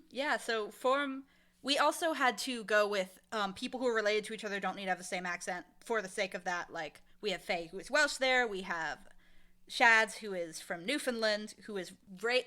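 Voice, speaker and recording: A woman speaking casually as an interview guest, animated and emphatic, with a playfully blunt way of talking. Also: medium pitch, normal speed. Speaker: interview guest, audio drama creator and casting director.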